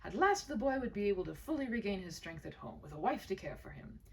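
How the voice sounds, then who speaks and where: A woman reading a story aloud as expressive, dramatic narration, with phrase endings that drop to a hushed breath. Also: medium pitch, fast talker, distant mic. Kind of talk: expressive scripted narration of a historical romance tale.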